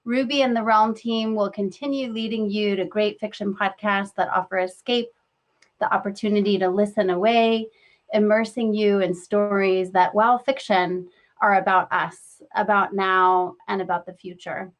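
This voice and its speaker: A woman's voice: medium pitch, fairly even intonation, normal speed. A woman speaking as a company executive, presenting a scripted promotional announcement.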